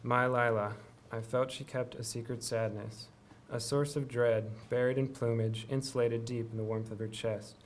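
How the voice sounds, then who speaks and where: A young man reading a poem at a podium in a steady, even manner. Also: mid-range voice, a little hiss or hum. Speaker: student reading his work. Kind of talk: poetry reading. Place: small lecture room. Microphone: podium gooseneck mic.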